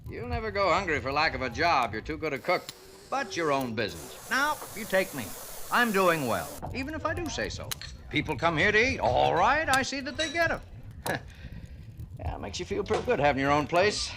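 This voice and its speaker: An actor playing a genial but fretful middle-aged diner owner in old radio drama style, chatty and folksy, hesitant when flustered. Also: male voice, fairly high pitch, huge pitch swings, normal speed. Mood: genial, proud. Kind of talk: 1950s radio drama acting. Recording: hissy old radio recording.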